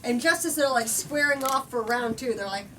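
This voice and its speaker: A woman's voice: fairly high pitch, normal speed, sharp tone. A woman reading a story aloud as host, dramatic and informal in her delivery. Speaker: host reading book excerpts. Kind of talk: expressive book reading with casual asides. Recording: distant mic, faint background noise.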